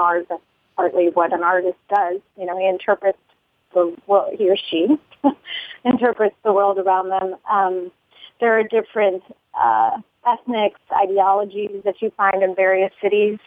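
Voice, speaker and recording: A chatty woman calls in over a tinny phone line, her voice dry and boxed in. She rambles, circles back on herself and laughs often, with a pitch sitting in the middle. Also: no echo. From United States. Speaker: musician guest. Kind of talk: phone interview. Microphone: telephone.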